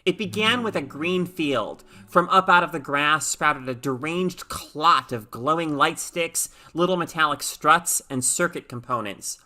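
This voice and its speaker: A woman reading a short story aloud as an expressive, dramatic narrator. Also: low-pitched, a little slow, few pauses. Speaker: story reader.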